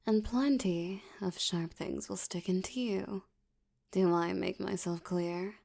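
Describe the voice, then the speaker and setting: A seductive female mafia boss, acted by a woman in an ASMR audio drama, slow and teasing with a mellow, creaky voice. She flirts obsessively and threatens softly, often sinking into a breathy whisper. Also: lowish voice, steady tone, full character voice. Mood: softly menacing.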